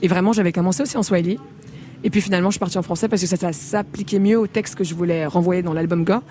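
A woman from the Congo speaks as a singer guest on a radio interview, quick and candid. Her voice is warm and mellow, and she talks at a rapid clip. She laughs easily and trails off into fillers. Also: fairly low pitch, steady tone, slight background noise.

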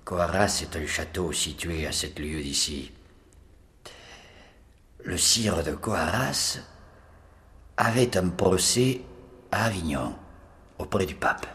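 A man playing a solemn medieval storyteller who recounts a legend slowly and ceremoniously. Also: deep voice, fairly bright tone.

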